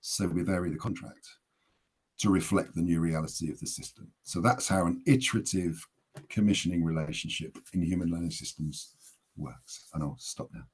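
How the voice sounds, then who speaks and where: A man's voice: sits low, normal speed, darkish tone, slightly rough. A man making an emphatic, plain-spoken case in a webinar talk. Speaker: guest speaker. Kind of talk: webinar presentation.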